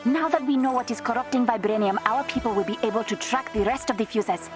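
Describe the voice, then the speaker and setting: An actress playing a clever, headstrong young princess with an African accent, brisk and confident, in a video game. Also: female voice, a little high, music in the background, lightly acted. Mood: earnest.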